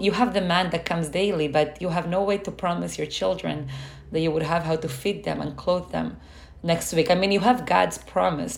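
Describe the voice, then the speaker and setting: A woman's voice: sits low, a little mellow. A woman co-hosting a discussion podcast, whose first language is not English, speaking earnestly with frequent restarts and fillers. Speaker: podcast co-host. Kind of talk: reflective conversational discussion.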